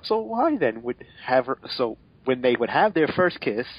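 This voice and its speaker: A man's voice: mid-range voice, very animated, moderate pace, husky, dull audio. A man doing a mocking dramatic reading as narrator, sarcastic, often stumbling and breaking off to comment. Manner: swears. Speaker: narrator and co-reader.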